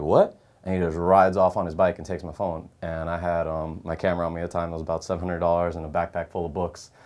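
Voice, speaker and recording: A man's voice: sits low, darkish tone. A young man on a discussion panel, telling a personal story casually and animatedly. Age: young adult.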